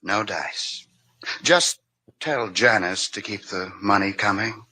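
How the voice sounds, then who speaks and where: A man's voice: lowish voice, takes it slowly, pushed and bright, heavily acted. A man acting a flippant, cynical drifter artist with sardonic, languid delivery in a 1950s radio drama.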